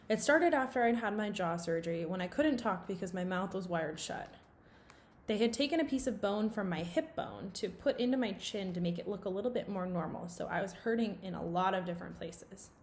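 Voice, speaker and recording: A woman reading a children's novel aloud in an expressive storytelling style. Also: mid-range voice, a little hiss or hum.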